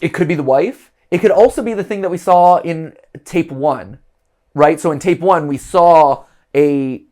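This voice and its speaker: A man hosting a casual reaction chat, animated and jokey, now and then breaking into silly voices. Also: on the high side, a little slow. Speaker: host.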